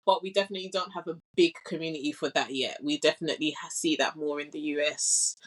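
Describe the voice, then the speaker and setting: A woman's voice: deep voice, fairly even intonation, quick pace, rough recording. A young woman with a London accent, a guest in a casual podcast interview, speaking thoughtfully and earnestly with frequent "like" and "you know". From England.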